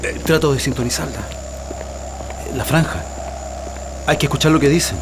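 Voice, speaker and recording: An actor playing a steadfast, defiant political prisoner in a radio drama, with a Chilean accent. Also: male voice, mid-range voice, moderate pace, noisy background, lightly acted. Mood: intent. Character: defiant male political prisoner, steadfast comrade with quiet resolve.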